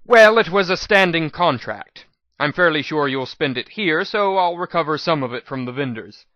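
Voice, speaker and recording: A man voicing a shrewd, cheerful female pony shop manager in a dramatic audiobook reading, bright and businesslike. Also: fairly high pitch, expressive, moderate pace, no echo, muffled recording.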